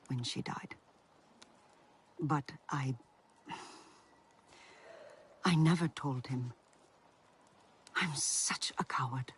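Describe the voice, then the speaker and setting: Breathy, anxious alien brother. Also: male voice, medium pitch. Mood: ashamed, sorrowful. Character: anxious, guilt-ridden alien brother, timid and sorrowful. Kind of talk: video game cutscene acting.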